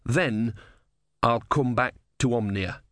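A meek, miserable monk voiced by a man. He speaks slowly and earnestly, as a storybook character in a dry recording. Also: mid-range voice, a bit bright, no echo. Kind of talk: audiobook character voice.

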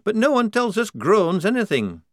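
A man's voice: high-pitched, very animated, moderate pace, dry recording, studio quality, voice pushed up. A man reading an audiobook, voicing an eager young soldier in the squad who talks cheerfully. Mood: chirpy. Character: chirpy young soldier brewing tea.

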